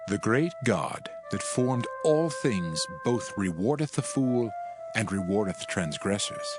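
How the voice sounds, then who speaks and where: A man giving a solemn, declamatory, dramatized reading of scripture in measured phrases. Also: lowish voice. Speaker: audio Bible narrator. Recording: music behind the voice. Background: background music.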